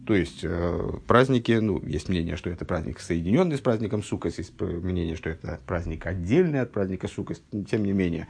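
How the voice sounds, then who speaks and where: A man with a husky, gravelly low voice teaches a text study lesson. He reads each source passage slowly, then explains it plainly, sounding like he is just recovering from an illness. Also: lively intonation, a little mellow. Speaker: religious teacher.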